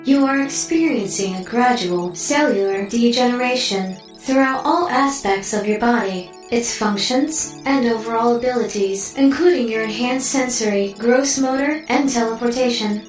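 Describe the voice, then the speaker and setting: An actress playing a coolly clinical artificial intelligence in an audio drama, speaking in precise, formal, detached phrases. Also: female voice, fairly high pitch, moderate pace, hardly any pauses, echoey room, recorded from a distance, soft background music, close to an everyday voice, low-quality recording. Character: coolly clinical female artificial intelligence delivering blunt data.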